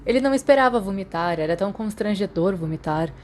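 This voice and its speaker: A woman's voice: mid-range voice, expressive, quick pace, a little hiss or hum. A woman with a Brazilian accent reading scripted story narration as an audiobook narrator.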